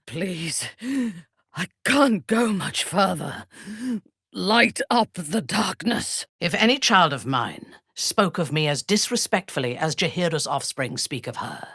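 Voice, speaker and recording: Casting: a menacing warrior cleric for a fantasy game, deep female voice swinging widely in pitch, haughty and ruthless. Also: sing-song, a bit bright, slightly rough, no room sound, studio quality, full character voice. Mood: strained, then cold menace. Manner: haughty, deliberate, theatrical delivery. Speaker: video game character voice. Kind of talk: dramatic fantasy game voice lines.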